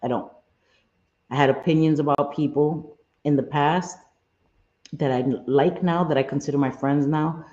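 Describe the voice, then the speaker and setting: A woman with a husky smoker's voice hosting a live stream, talking bluntly and earnestly, often repeating herself, with some salty language. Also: low voice, steady tone, moderate pace, dark tone. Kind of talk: candid livestream chat, reading chat comments. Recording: muffled roomy home stream audio.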